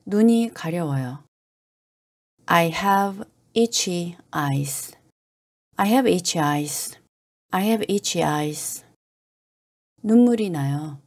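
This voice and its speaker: Woman slowly drilling English phrases. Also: on the low side, darkish tone. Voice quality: careful, clipped repetition. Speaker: English teacher. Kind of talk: slow drill reading of phrases.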